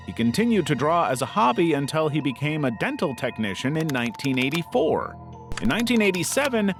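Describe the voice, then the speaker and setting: A man reading a scripted video essay as its narrator. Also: fairly high pitch. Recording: music under the voice. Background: background music.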